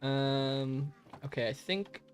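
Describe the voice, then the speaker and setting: A young man chats easily with his stream viewers, laughing often and restarting sentences as he goes. His pitch sits in an everyday middle range, and the modest home mic carries some faint music in the background. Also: takes it slowly. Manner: friendly, rambling, laughs often, many fillers and restarts. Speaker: live streamer answering chat. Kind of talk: casual live-stream chat while gaming. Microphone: modest home microphone.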